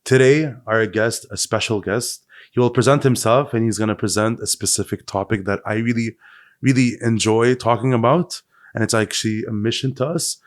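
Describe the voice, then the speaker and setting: A man's voice: mid-range voice, moderate pace, no room sound, clean studio sound. A man hosting a podcast in a conversational style, feeling his way through explanations with restarted phrases. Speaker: podcast host.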